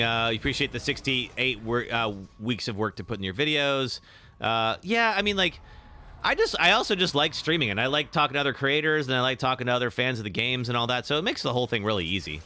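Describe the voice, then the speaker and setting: A young man, slightly raspy today, chatting casually while live streaming a game and answering chat. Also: mid-range voice. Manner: chatty, reacts with sudden loud exclamations; filler-heavy, answers chat. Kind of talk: live gameplay commentary.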